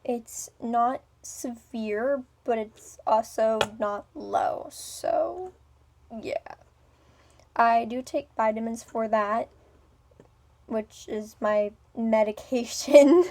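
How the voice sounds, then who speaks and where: A young woman with a speech impediment rambling through a personal vlog, with many ums, pauses and restarts, moving between a smooth, businesslike tone and a playful one. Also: a little high, warm tone. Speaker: vlogger.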